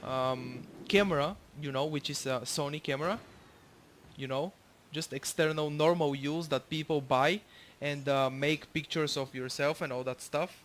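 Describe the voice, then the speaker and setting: A man's voice: a little high, normal speed, a bit bright. A young man from Bulgaria, with a Bulgarian accent and Bulgarian as his first language, casually commentating his own match, rambling, swearing freely and correcting himself. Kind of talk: casual commentary over gameplay.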